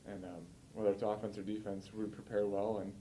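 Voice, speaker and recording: A man in his early twenties, a college football player giving measured, matter-of-fact answers at a post-game press conference. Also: on the low side, completely flat delivery, mellow, a little hiss or hum, low-quality recording. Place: indoor press room, seated at a table.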